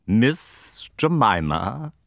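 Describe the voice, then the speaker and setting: A man's voice: medium pitch, very animated, very slow, creaky, no echo, clean studio sound, pitched above the performer's own voice, full character voice. A man voicing a stately, cold older headmistress in an audiobook, with pompous, formal, commanding speech. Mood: cold, severe. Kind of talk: audiobook character acting.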